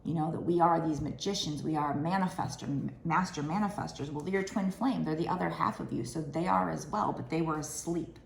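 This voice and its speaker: A woman in her thirties with a raspy, tired voice, giving a casual, rambling tarot card reading at a table indoors. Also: low voice, brisk, hardly any pauses, reverberant, slight background noise. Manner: chatty, rambling, with many fillers. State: raspy from lack of sleep. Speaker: tarot reader.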